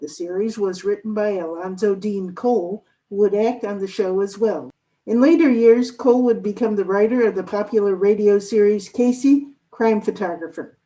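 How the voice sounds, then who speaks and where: Mellow woman announcer, slightly distant microphone. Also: mid-range voice, normal speed. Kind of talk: scripted narration.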